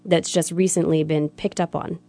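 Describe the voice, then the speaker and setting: A woman's voice: sits low, flat delivery, moderate pace, vocal fry, mellow. A woman co-hosting a casual interview, asking curious questions and chiming in with short agreements.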